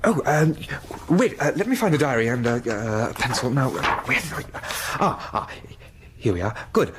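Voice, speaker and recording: An actor playing a diffident, uneasy vicar in a radio drama, gentle and hesitant. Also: male voice, mid-range voice, big pitch swings, normal speed, background noise, natural voice. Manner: hesitant, flustered.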